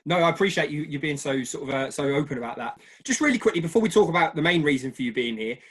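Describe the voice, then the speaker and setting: A man's voice: fairly high pitch, expressive, fast talker, fairly bright tone, breathy edge. A man with an English accent hosting an interview podcast in a chatty, polite manner.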